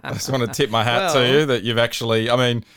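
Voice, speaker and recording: A man's voice: medium pitch, steady tone, fast, a bit bright, clear, resonant tone, no echo. A man hosting a podcast, teasing his co-host in jokey casual banter.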